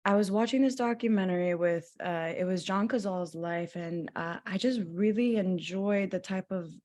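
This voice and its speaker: A young woman from Los Angeles talks as a guest in a relaxed interview. Her pitch sits in the middle range. She circles her point with fillers, restarts and stray "like"s. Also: moderate pace. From Los Angeles, USA. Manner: rambling, many fillers and restarts. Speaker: guest actor. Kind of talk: casual interview talk.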